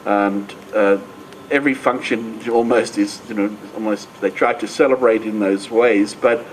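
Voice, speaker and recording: A man's voice: on the low side, steady tone, measured pace, a little hiss or hum, poor audio. An older man from Australia, speaking on a panel at a book launch, hesitant and full of fillers. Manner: hesitant, many fillers and restarts. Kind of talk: public panel talk.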